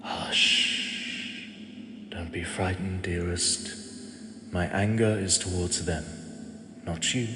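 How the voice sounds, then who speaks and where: A man voicing an ancient male god who speaks softly and slowly, fond and gently teasing, as if to a mortal he protects. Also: low-pitched, fairly even intonation, exaggerated voice. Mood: soothing. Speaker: voice actor. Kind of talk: audio drama monologue. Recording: echoey with long reverb and clear ambience.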